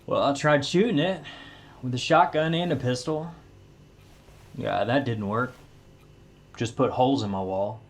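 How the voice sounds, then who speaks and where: An actor playing a folksy, plainspoken country widower, a weary haunted homeowner, in an audio drama. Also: male voice, medium pitch, measured pace, long pauses. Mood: exasperated, ornery. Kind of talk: audio drama character dialogue.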